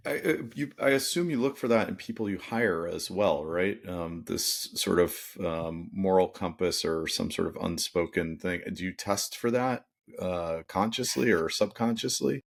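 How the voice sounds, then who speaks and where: A man's voice: low voice, normal speed, vocal fry. A man hosting a podcast, asking questions in a casual, conversational way. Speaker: interviewer, podcast host.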